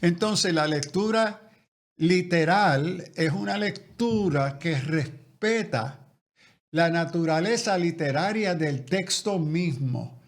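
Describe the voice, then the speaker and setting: Slow, careful pastor explaining theology. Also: male voice, on the high side, animated. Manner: slow, careful, lecturing with restarts. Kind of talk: explanatory interview answers.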